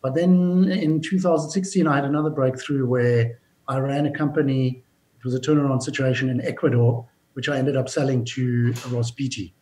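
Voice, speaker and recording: A businesslike man tells his story as an interview guest. He often starts a phrase over before finishing it, and his pitch sits in the middle range. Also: fairly even intonation, normal speed, warm tone, rough recording. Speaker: mining company executive, guest. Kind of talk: interview answers, recounting his career.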